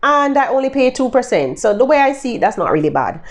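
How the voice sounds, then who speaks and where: Jamaican woman, vlogger, chatty and upbeat, very expressive pitch, talks straight to camera. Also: fast. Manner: chatty, upbeat, addresses viewers directly with endearments. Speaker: vlogger giving freelancing tips. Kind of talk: casual tutorial to viewers.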